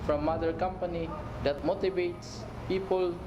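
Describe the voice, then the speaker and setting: A man's voice: high-pitched, fairly even intonation, normal speed, lo-fi sound. Man in his thirties. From Philippines, Cebu. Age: 30s. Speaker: company employee. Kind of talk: interview testimonial. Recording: roomy with background noise.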